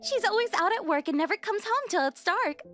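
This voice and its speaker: A woman's voice: extremely high pitch, animated, moderate pace, sharp tone, faint music behind, exaggerated voice. A woman playing a brazen, entitled neighborhood mom in a manga dub, with a gushing, exclaiming manner. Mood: gleeful, smug.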